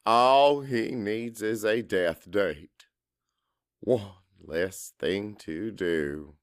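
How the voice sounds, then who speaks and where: A man narrating a dramatic first-person audiobook in an emotive, deliberate way with pauses for effect. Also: fairly low pitch, no echo, studio quality.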